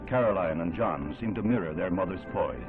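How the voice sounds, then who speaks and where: Male American newsreel narrator, 1960s, grave and oratorical, low register, thin band-limited soundtrack. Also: phone-quality sound. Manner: grave, measured, oratorical delivery. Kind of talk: 1960s newsreel scripted narration, solemn and dramatic. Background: music.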